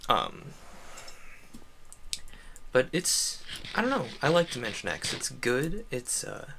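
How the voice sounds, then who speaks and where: A man chatting casually and haltingly on a solo game livestream, rambling with self-deprecating asides. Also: mid-range voice, sing-song, vocal fry, noisy background.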